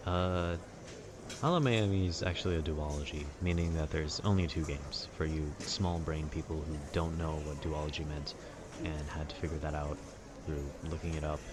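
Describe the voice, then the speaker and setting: A young man with a very low voice rambles casually in a calm, wry way. Clearly audible urban ambience sits behind his informal monologue. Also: moderate pace, background noise. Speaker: video creator. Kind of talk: casual rambling monologue about video games.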